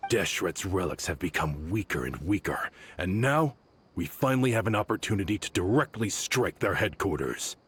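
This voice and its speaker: A man's voice: deep voice, big pitch swings, normal speed, slight background noise. An actor playing a grim male mercenary who reports in a matter-of-fact way.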